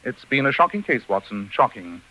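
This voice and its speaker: An actor playing a shrewd, stern British gentleman detective in a 1940s radio drama, crisp, formal and commanding. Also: mid-range voice, very animated, fairly quick, lightly acted. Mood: somber. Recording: dull lo-fi 1940s radio recording, faint music.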